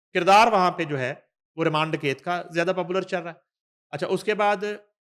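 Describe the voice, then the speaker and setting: Higher-voiced Pakistani man giving news commentary. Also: fairly bright tone. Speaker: news show host. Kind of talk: news commentary, reading an article aloud with asides.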